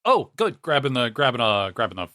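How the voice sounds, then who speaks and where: A man's voice: medium pitch, big pitch swings, a little fast, bright, forceful tone. A man chatting casually as a player in an improvised tabletop roleplaying game.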